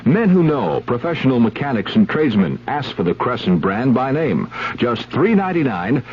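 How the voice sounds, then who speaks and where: Pitchman: American man, animated, folksy scripted ad read, dull 1970s radio sound. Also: moderate pace. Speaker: commercial pitchman. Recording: dull old radio recording, faint hum.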